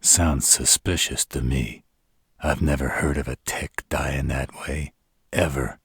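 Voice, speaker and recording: A man voicing an arrogant, brooding male vampire in a scripted audio roleplay, with slow, dramatic delivery and a rough voice. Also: steady tone, a bit bright, no echo, studio quality, heavily acted. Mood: suspicious. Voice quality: very deep, rough husky voice. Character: arrogant male vampires plotting, grave and cocky.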